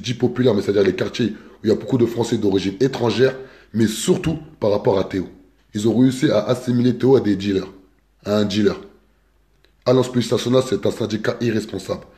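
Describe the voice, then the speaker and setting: A man from France making an earnest, deliberate political appeal as an activist spokesperson, repeating key words for emphasis. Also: fairly low pitch, warm tone. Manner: earnest, repetitive, stresses words like "exactement" and "simple"; deliberate, measured delivery. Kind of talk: political appeal, live video.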